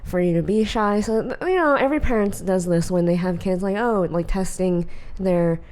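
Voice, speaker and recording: Quiet soft-spoken woman chatting on a podcast, medium pitch. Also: normal speed. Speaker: podcast co-host. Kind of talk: casual chat.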